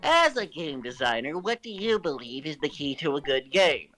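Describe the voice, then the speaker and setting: A man's voice: a little high, very animated, moderate pace, bright, forceful tone, soft background music. A man voicing a smug, bratty young turtle prince who hosts a web show, in a theatrical, pompous, emphatic cartoon manner. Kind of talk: cartoon voice acting in a fan story reading.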